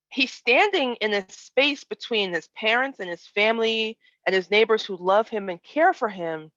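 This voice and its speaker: A woman's voice: medium pitch, no room sound. Woman preaching, slightly dull dry recording. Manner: expressive, acts out imagined speech and emphasizes key words; reflective, poses rhetorical questions to listeners. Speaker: guest preacher. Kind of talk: sermon with personal storytelling. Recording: dull, lo-fi online worship audio.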